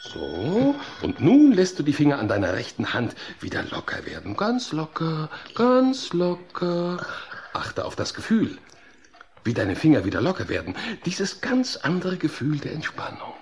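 A man's voice: fairly high pitch, measured pace, a little hiss or hum, heavily acted. A man playing an earnest, fussy psychologist who lectures and coaxes patiently in a German children's audio drama. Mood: coaxing instruction. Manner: didactic, expressive, coaxing.

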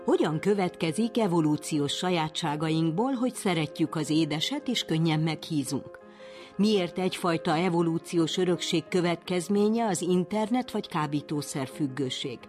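A woman's voice: fairly low pitch, darkish tone, soft background music. A woman, presenting a radio programme with a scripted introduction.

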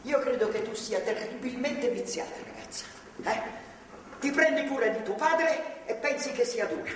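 An actress plays a grandmotherly, righteous older woman in a theatrical drama. She speaks earnestly, admonishing like a stern believer. The sound is echoey and distant, with clear noise around it. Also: fairly high pitch, expressive, moderate pace, lightly acted. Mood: stern, indignant. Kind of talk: theatrical stage and radio drama acting.

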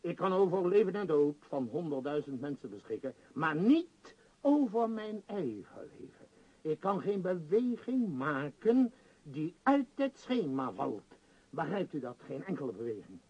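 An actor playing a powerful middle-aged tycoon in a radio play, bitter and declamatory, longing for lost freedom. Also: male voice, on the high side, sing-song, unhurried, a little mellow, slightly rough, highs cut off, exaggerated voice. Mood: bitter, impassioned. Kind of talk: theatrical radio play acting.

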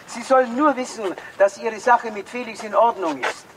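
A man's voice: very high-pitched, normal speed, noisy background. An actor playing a driven, sardonic man hunting a murderer in old Vienna, mocking and insistent in a theatrical radio drama manner. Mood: impatient, self-assured.

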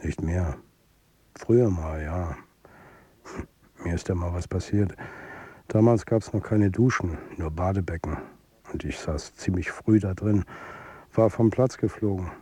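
A middle-aged football coach tells his story in a radio drama. His voice is husky and fairly low. He speaks candidly and colloquially, as if confiding in the listener, with a world-weary edge. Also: unhurried, pauses often, warm tone, dry recording. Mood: confiding, wry. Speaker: narrator and lead character. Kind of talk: intimate first-person radio drama narration.

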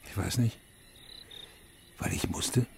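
An actor playing a haunted middle-aged veteran and father, narrating his story in a radio drama in a subdued, measured, reflective way with a husky voice. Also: slight background noise, natural voice. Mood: resigned. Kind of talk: literary first-person radio drama narration.

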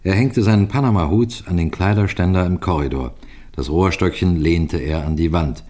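An actor playing a seasoned police detective in a radio crime drama, measured, courteous and building suspense as he tells his story. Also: sits low, a little mellow, close to an everyday voice. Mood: tense storytelling. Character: seasoned, composed male detective superintendent telling his story.

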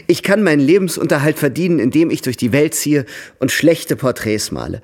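A man's voice: a little high, expressive, a little fast, darkish tone. A man in a radio drama playing a cheerful, self-mocking young suitor with a witty, eloquent way of talking. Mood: pleading, playful.

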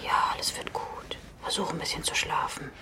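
An actress playing a weary, protective woman in a radio play, speaking in a hushed, guarded, intimate way. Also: moderate pace, in a whisper, lightly acted. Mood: soothing. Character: weary, protective adult woman caring for a child. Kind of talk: radio play acting, intimate inner monologue.

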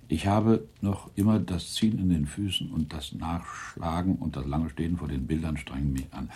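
An older man playing a resigned father and husband in a German radio play, husky, deliberate and weary. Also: extremely low pitch, mellow, exaggerated voice.

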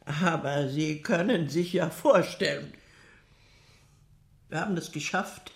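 Slow, echoey composed nun. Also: female voice, low-pitched, gravelly, full character voice. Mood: measured recollection. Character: composed convent nun recounting wartime records to investigators. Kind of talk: radio crime drama acting. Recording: echoey room.

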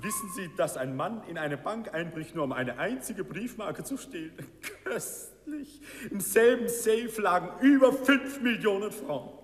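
A man's voice: high voice, lively intonation, measured pace. An actor playing an amused, mocking man gossiping over the newspaper, theatrical and exclaiming, in a 1950s radio operetta. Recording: 1950s radio recording, reverberant, loud music.

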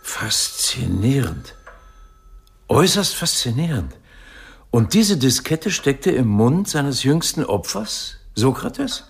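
An actor playing an erudite philosophy professor in a radio drama, donnish, deliberate and wryly amused. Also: male voice, medium pitch, sing-song, creaky, slightly rough, heavily acted. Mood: fascinated. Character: erudite, fascinated male Cambridge philosophy professor.

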